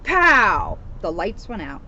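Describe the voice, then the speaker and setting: A woman reading a children's storybook aloud in an animated, expressive way, with emphasis on the sound words. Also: mid-range voice, slow. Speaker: storybook reader, police officer. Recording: noisy, dull outdoor recording.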